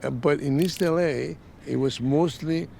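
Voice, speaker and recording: Older Mexican-born man, creaky voice, reminiscing. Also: unhurried, mellow. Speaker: interview guest, former soccer player. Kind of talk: casual reminiscence. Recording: faint rumbling background noise.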